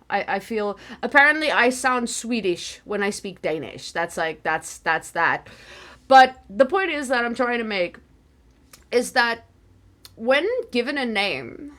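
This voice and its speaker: A young woman with a slightly high voice, musing aloud on a livestream. She rambles, stops and restarts, and fills her pauses with "like" and "um". She sounds like she is talking into her phone or computer mic. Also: fairly bright tone. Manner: rambling, thinking aloud with many fillers. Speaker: livestream host.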